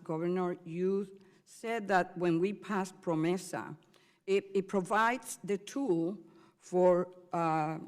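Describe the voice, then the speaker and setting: A woman from Puerto Rico, a member of Congress, questioning a governor at a hearing in a measured way with frequent fillers. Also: on the low side, darkish tone. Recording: hall reverb.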